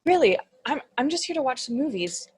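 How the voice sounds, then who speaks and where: A woman's voice: high voice, big pitch swings, brisk, husky, full character voice. A woman playing an insecure thirteen-year-old demon, timid and apologetic, in a comedy script read. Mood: earnest, eager.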